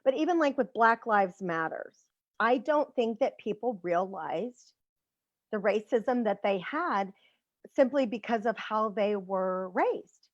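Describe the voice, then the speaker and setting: A woman from Central Texas who hosts the interview, talking and asking questions in a relaxed way over a video call. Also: medium pitch, slow pace, no echo, lo-fi sound. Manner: talkative, asks questions. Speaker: host. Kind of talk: casual interview chat.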